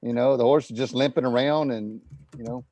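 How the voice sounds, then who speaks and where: A man's voice: mid-range voice, fairly even intonation, fairly quick, a little mellow, no echo. A man talking as a guest on a live-streamed show, telling a story in an easygoing, rambling way. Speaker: guest pilot. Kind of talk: casual live-stream chat.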